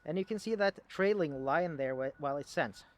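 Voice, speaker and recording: A man giving a casual, unscripted equipment demonstration as a hobbyist, explaining things in a halting, conversational way. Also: on the high side.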